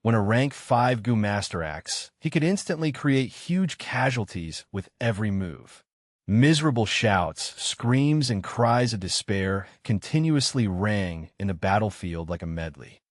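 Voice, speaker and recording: A man narrating a fantasy novel in a steady, dramatic manner. His voice sits low and is recorded dry and close. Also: unhurried, no room sound. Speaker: audiobook narrator.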